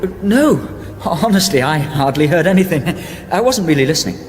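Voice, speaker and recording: A man's voice: fairly high pitch, lively intonation, fairly quick, slight background noise, lightly acted. A man playing an earnest, naive young hero in a radio comedy fantasy, polite and given to mock-heroic declaiming. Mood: awkward, polite. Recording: reverberant radio production with music behind.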